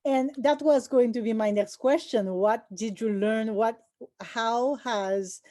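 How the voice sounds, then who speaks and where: A woman hosting a conversational interview, asking her questions in a measured way with pauses. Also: on the high side, steady tone, warm tone. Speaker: vlog host and interviewer.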